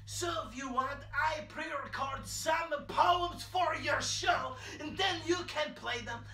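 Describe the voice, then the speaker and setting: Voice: high voice, takes it slowly, pushed and bright, slight background noise, full character voice. A performer voicing a boastful, nervous male immigrant poet who talks in broken English and preaches in blunt, repetitive lines, in a prerecorded comedy bit. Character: boastful male immigrant poet named Sergio, anxious about deportation. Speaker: comedy character reciting poems. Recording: echoey, distant, music underneath.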